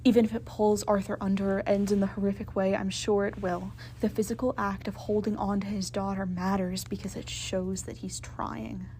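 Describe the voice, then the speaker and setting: A woman's voice: mid-range voice, little pitch movement, normal speed, few pauses, warm tone, a little hiss or hum. A woman listener reading a prepared argument for a voice message, earnest and formally worded, on an amateur recording. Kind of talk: prepared argument read aloud.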